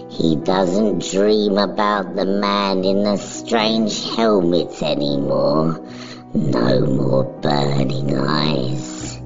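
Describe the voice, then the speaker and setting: A woman giving a solemn, measured, scripted dramatic narration of a story. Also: very deep voice. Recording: dull recording, music behind.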